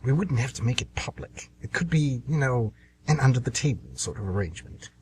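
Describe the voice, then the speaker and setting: A man plays a sardonic Devil in an amateur radio comedy. He is a scheming, irritable dealmaker with a mid-pitched voice that swoops widely as he wheedles and grumbles. There is faint noise on the recording. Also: lively intonation, a little fast, darkish tone, lightly acted. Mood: insinuating.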